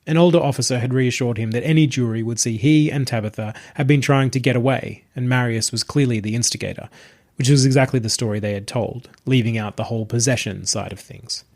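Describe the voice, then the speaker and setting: A man's voice: mid-range voice, fairly quick, darkish tone. A man narrating a scripted short story as the host of a fiction podcast.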